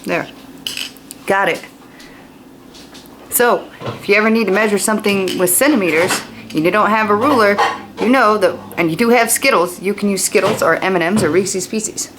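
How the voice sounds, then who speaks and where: Female American grade-school teacher, lower-middle to average pitch, informal explanatory read-aloud for kids, clearly noisy home recording. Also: moderate pace, long pauses. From United States. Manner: explanatory, asks questions of young listeners. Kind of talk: children's read-aloud lesson with informal asides.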